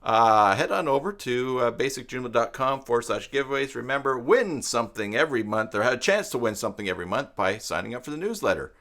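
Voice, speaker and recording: A man in his fifties speaks as the host of a live tutorial stream. His voice sits at an average pitch for a man. He talks in a casual, conversational manner and tends to ramble off into asides as he explains things. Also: expressive, quick pace, few pauses, a bit bright. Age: 50s.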